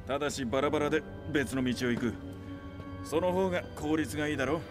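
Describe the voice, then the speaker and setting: An actor playing a seasoned middle-aged demon hunter in a game, nonchalant, curt and rough-spoken. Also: male voice, on the high side, fairly quick, music in the background, natural voice. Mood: cool, dismissive. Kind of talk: video game cutscene acting.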